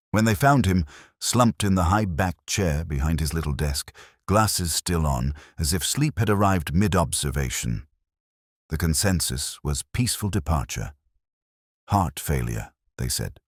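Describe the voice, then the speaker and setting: A man narrating a first-person detective story in a measured, literary, brooding manner with a husky voice. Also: rumbling low, dry recording, studio quality.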